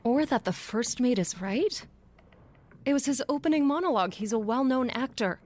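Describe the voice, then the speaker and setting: A woman's voice: a little high, expressive, moderate pace, darkish tone. A woman playing a forthright, logical scientist in an audio drama, casual and sardonic as she explains things. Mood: skeptical, sardonic. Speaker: actor.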